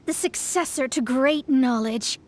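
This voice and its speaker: A woman's voice: high voice, measured pace, slight background noise. A woman voicing a proud young female astrologist in a video game, speaking in a formal, self-important and easily exasperated way with precise diction. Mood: lofty, assured. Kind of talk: scripted video game voice acting.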